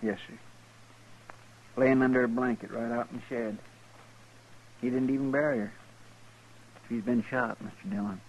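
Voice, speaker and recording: A man's voice: medium pitch, steady tone, normal speed, pauses often, dull audio, natural voice. A man acting a loyal, anxious frontier deputy in an old-time radio western, talking in folksy country style. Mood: worried. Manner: folksy, twangy country talk. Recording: noisy old radio drama recording.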